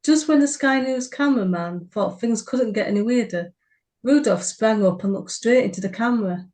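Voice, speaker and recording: A woman's voice: a little high, normal speed, a little mellow. A woman co-hosting a writers' podcast, reading short fiction aloud. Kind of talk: story reading.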